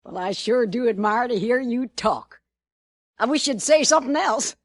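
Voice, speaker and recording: A folksy saloon gal. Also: female voice, a little high, animated, normal speed. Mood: flirtatious. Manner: folksy drawl. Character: friendly flirtatious frontier saloon woman. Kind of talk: 1950s radio drama acting.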